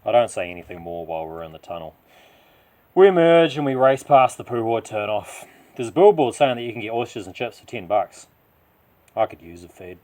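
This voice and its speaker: A low-pitched male voice with a New Zealand accent narrates gritty fiction in the first person. He reads dramatically, with profane, emphatic turns of phrase. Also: animated, brisk, darkish tone. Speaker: author reading own short story.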